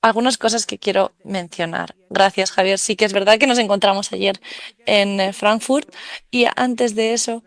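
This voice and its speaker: A woman giving a steady, fluent live interpretation of a conference talk. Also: medium pitch, moderate pace, no room sound. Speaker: simultaneous interpreter.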